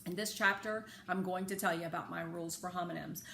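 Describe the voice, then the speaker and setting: A woman reading a children's story aloud in an expressive, careful, teacherly way with chatty asides. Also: fairly low pitch, fast, gravelly. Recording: echoey room with faint background noise.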